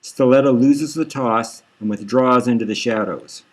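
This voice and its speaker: A man's voice: medium pitch, moderate pace. A man reading scripted first-person story narration as the author of the novel.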